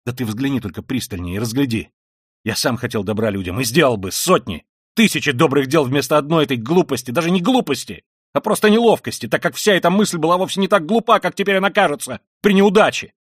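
A man reading an audiobook voices a tormented, proud and feverish young man who speaks quietly and wearily and flares into shouting rage. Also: on the low side, normal speed, no echo, studio quality, close to an everyday voice. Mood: furious. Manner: quiet and weary, then bursts into shouting rage. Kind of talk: audiobook reading of a classic novel.

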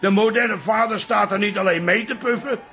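Creaky, blunt old pub father. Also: high-pitched, fairly quick, pushed and bright. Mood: grumbling, storytelling. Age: older. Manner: colloquial, grumbling, emphatic. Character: blunt, boastful old pub-owner father expecting a grandson. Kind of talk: radio comedy-drama acting.

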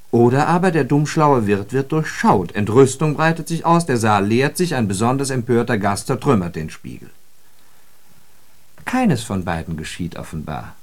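An actor playing a wry, puzzled observer of city life in a literary radio monologue, speaking articulately with irony. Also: male voice, medium pitch, sing-song, darkish tone. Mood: puzzled, indignant. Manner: ironic, articulate, deliberate phrasing.

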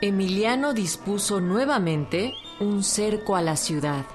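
A woman's voice: mid-range voice, a little slow. A woman with a Mexican accent reading scripted documentary narration for radio. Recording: prominent string music underneath.

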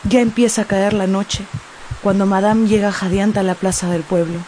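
A woman's voice: medium pitch, fairly even intonation, normal speed, warm tone, a little hiss or hum, poor audio. A woman narrator reading a scripted radio serial in a solemn, suspenseful, dramatic way.

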